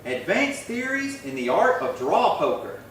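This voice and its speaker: Western radio sidekick male actor: high, lively, rambling and simple-hearted, distant in a reverberant hall. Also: measured pace, full character voice. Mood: proud, marveling. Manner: folksy, rambling, earnest. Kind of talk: old-time western radio acting. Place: little theatre stage, distant mic. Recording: very echoey hall, far from mic, faint noise.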